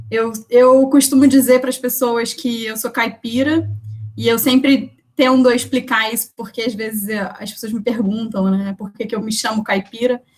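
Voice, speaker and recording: A woman poet and researcher from Brazil introducing herself at an online poetry event, chatting from home over a video call with lots of fillers. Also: a little high. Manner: chatty, warm thanks, many fillers.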